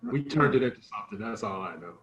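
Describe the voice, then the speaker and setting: Male comedy podcaster, animated pitch, casual banter, roomy echo. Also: fast. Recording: roomy echo, faint noise.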